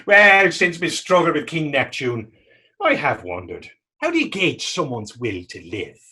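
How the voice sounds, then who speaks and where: A man playing a battered, pious and self-mocking fisherman in readers theater, telling his story in halting, folksy dialect. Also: a little high, huge pitch swings, sharp tone, slightly rough, heavily acted. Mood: bemused, animated. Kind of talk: readers theater dramatic dialogue.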